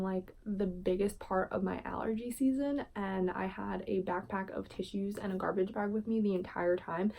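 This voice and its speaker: A woman's voice: medium pitch, warm tone. A woman in her twenties chatting casually in a vlog, with frequent filler words. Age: 20s. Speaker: vlogger.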